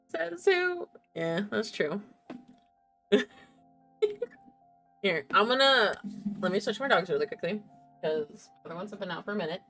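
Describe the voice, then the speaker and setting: A woman's voice: mid-range voice, lively intonation, measured pace, pauses often, a bit bright, soft background music. A woman hosting a game livestream, chatting casually and playfully to herself and her viewers in a giggly manner. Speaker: game streamer, host.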